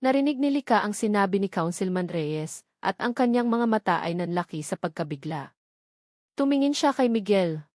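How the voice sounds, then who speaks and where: A woman's voice: medium pitch, animated, normal speed, darkish tone, no room sound, studio quality. A woman narrating a scripted, dramatic love story.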